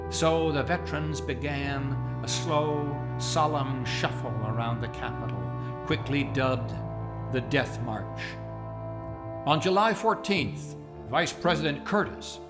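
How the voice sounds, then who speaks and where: A man narrating a radio drama in a measured, solemn storytelling manner. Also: medium pitch. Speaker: announcer and narrator of a radio play. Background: plucked guitar music.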